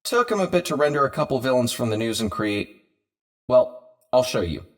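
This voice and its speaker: A man as an animated, earnest superhero inventor. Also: mid-range voice, normal speed. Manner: defensive, explanatory. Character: earnest, touchy male superhero scientist, easily exasperated. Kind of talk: superhero audio drama acting.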